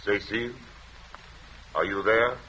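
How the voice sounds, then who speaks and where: An actor playing a cool, commanding French resistance leader and doctor in a 1940s radio drama, speaking in a measured, formal way. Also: male voice, on the low side, lightly acted. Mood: composed. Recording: muffled, noisy old radio recording.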